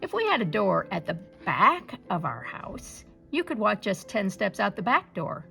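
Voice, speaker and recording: A woman's voice: medium pitch, sing-song, unhurried, gravelly, sharp tone, faint music behind, heavily acted. A woman voicing a kindly, practical old wife in a storybook folktale, earnest and emphatic.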